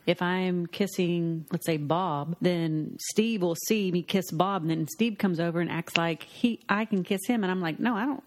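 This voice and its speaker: A woman co-host with a somewhat low voice chats in a relaxed, supportive way, quick to encourage and share her own stories. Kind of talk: casual chat.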